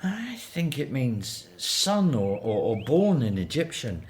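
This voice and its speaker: A man's voice: medium pitch, slow pace. A man playing an earnest, devout Hebrew father in a biblical radio drama. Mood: thoughtful, resigned. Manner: earnest, expressive. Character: devout, anxious Hebrew father in ancient Egypt.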